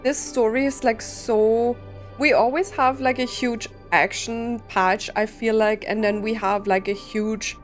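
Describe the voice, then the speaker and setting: A woman's voice: a little high, fairly even intonation, unhurried. A woman gaming streamer giving casual reaction commentary, thinking aloud as she goes. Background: game music.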